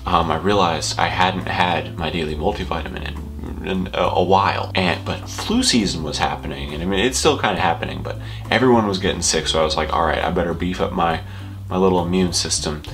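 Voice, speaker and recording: A man's voice: low voice, brisk, fairly bright tone. A young man telling casual, rambling stories to camera with dry, self-deprecating humor. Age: young adult. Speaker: vlogger.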